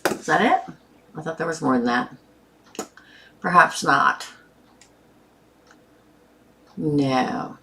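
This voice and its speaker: A woman's voice: deep voice, slow, bright, forceful tone, a little hiss or hum. A woman hosting a tarot live stream with a sore throat, chatting casually and greeting viewers by name.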